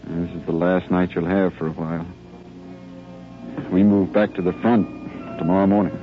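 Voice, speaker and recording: A male actor playing an earnest army lieutenant who steadies his men in measured, rhetorical phrases, in a 1950s radio drama style. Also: deep voice, flat delivery, over music, natural voice. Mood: steady, commanding. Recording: muffled old 1950s radio recording.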